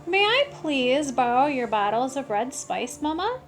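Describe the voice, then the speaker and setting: A woman voicing an excitable young boy kitten who fancies himself a chihuahua and sprinkles his talk with Spanish words. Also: sits high, measured pace, soft background music. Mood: polite. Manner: exclamatory, peppering speech with Spanish words.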